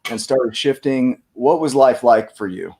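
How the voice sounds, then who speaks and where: A man's voice: medium pitch, measured pace, a little mellow. A man hosting a casual interview show, easygoing and upbeat, rambling a little as he leads in. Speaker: host.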